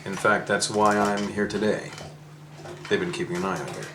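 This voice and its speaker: Low, quietly menacing government man. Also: steady tone, moderate pace. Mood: quiet menace. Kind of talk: 1930s radio play acting. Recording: roomy with clear background noise.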